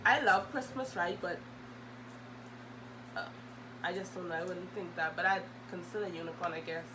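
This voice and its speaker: Low-voiced young woman, noisy recording. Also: normal speed. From Bahamas. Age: young adult. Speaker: video host. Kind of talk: casual question-and-answer vlog chat. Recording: loud humming background noise.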